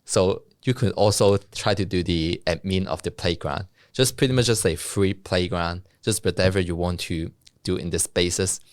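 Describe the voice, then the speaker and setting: A man's voice: low-pitched, normal speed, no room sound, studio quality. A young man from Hong Kong, a native Cantonese speaker with a Hong Kong accent, describes his work as a guest in an interview, casually and with frequent laughs. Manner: laughs often, casual and self-deprecating. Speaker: guest, software developer and co-founder.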